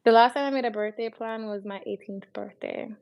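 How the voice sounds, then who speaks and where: Young woman with a high, creaky voice. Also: fairly even intonation, brisk, darkish tone. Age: young adult. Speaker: podcast co-host. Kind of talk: casual chat.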